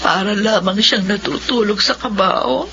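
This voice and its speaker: A woman's voice: medium pitch, measured pace, vocal fry, bright, forceful tone, soft background music. A Filipino woman playing a devout, grieving mother in a radio drama, sorrowful and solemn. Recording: dull, faint background noise.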